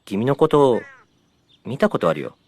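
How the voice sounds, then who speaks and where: A man's voice: mid-range voice, big pitch swings, a little fast, a little mellow, breathy edge. A man voicing an eager, polite young drift car in a cartoon. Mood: eager, admiring. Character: young male drift car, keen admiring challenger. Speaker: voice actor. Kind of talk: animated cartoon dialogue.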